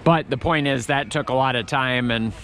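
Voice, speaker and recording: A man's voice: medium pitch, normal speed, a bit bright, a little hiss or hum. Rambling man vlogging outdoors. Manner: rambling, chatty, many restarts. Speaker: travel vlogger. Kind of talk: casual walking commentary. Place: outdoor Christmas market in a city.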